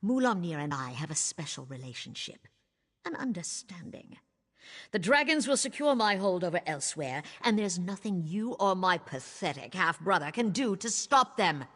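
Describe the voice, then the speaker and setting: A woman voicing a scornful, cruelly seductive usurper queen in a video game, husky, imperious and disdainful. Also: fairly low pitch, very animated, moderate pace, a bit bright, full character voice. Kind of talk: video game character acting. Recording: long reverb of a large hall.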